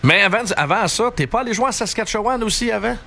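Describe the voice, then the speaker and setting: A male interviewer with a Quebec French accent. His voice sits a little high for a man and sounds bright and forward, and he talks in an informal, chatty way full of colloquial turns. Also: lively intonation, fast, creaky. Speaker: interviewer, host. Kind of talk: casual conversational interview.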